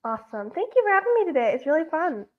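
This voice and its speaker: Very high-voiced woman on lo-fi call. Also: big pitch swings, fast. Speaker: guest art instructor and author. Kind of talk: reading own short story aloud. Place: indoors, on a video call. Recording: dull, lo-fi video call.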